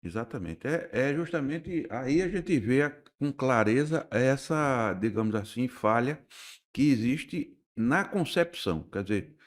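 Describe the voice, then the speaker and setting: Measured older Recife man explaining. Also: medium pitch, expressive. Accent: Pernambuco Portuguese. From Recife, Brazil. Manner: measured, explanatory, hesitant with restarts. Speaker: architect and urban planner guest. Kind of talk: radio interview talk.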